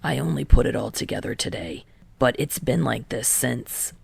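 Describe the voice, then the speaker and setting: A woman voicing a blunt teenage boy athlete in a low, breathy murmur with a flat, grumbling, halting manner for a story reading. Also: no room sound, exaggerated voice. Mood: insistent.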